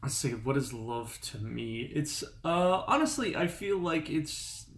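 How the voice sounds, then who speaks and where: A man's voice: on the high side, animated, measured pace, a bit bright. A man in his twenties, a podcast guest, chatting in a joking, rambling way with many fillers. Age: 20s. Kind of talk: casual chat. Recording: echoey room with faint hiss.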